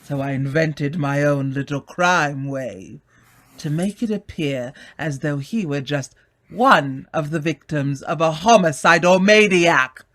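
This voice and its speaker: A man's voice: on the high side, a little slow, fairly bright tone. A man acting a haughty, cunning heir and murderer in an old-time radio mystery, theatrical and condescending. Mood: smugly confessing.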